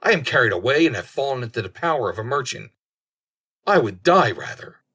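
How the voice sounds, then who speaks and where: A man's voice: medium pitch, big pitch swings, fairly quick, bright, forceful tone, husky, voice pushed up, heavily acted. A man voicing a proud young princess in a storybook, exclaiming theatrically. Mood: alarmed. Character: young princess, curious and proud, easily alarmed.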